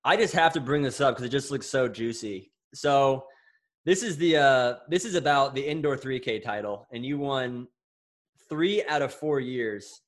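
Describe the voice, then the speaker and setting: A man's voice: mid-range voice, normal speed. A man hosting a casual podcast, asking long rambling questions and joking around. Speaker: podcast host.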